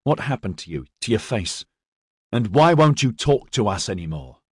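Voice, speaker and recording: A loyal young squadmate, read by a male narrator, pleads with anxious concern. The voice is husky and recorded very dry. It is character dialogue from a fantasy audiobook. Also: lowish voice, very animated, moderate pace, no echo. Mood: worried.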